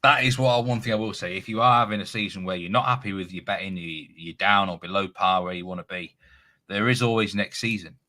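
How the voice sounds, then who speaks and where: Bright, chatty male betting host. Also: low voice, steady tone, brisk. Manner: chatty, rambling, with many restarts and fillers. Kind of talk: casual live studio chat.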